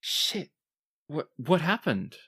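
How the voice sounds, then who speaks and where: Man playing a dazed, halting teenage scout. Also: a little high, sing-song, pushed and bright, slightly rough, no echo, clean studio sound, voice pushed up, heavily acted. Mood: dazed, alarmed. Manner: halting, baffled. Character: dazed late-teen male scout back from death.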